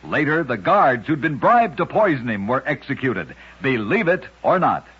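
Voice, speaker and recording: A man hosting an old-time radio program, narrating scripted strange tales in a dramatic, deliberate storyteller's delivery. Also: mid-range voice, very animated, noisy background. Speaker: radio host and narrator of oddities. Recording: dull lo-fi vintage radio recording.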